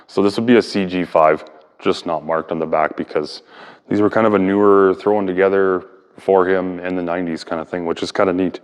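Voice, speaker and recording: Man, low-pitched, smooth clear tone, casual explainer, thinking aloud, long room reverb. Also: fairly even intonation, fairly quick. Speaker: hobbyist video host and speaker restorer.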